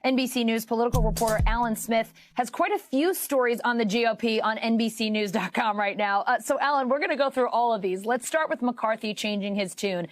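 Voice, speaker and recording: A woman's voice: on the high side, dry recording. An American woman working as a news anchor, presenting stories and putting questions in a conversational broadcast style.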